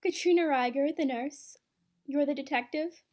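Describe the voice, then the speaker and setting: A woman's voice: high-pitched, moderate pace, darkish tone, natural voice. A woman playing an anxious, earnest nurse in an amateur radio play. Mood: anxious, pleading. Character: anxious, pleading young female nurse under suspicion.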